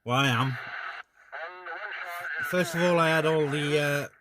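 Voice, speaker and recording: Male, informal rambling radio-net host, halting delivery, background voices. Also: fairly high pitch, a crowd in the background, rough recording. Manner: hesitant, informal. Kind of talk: casual rambling chat to camera and radio. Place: outdoors on a hilltop by a car.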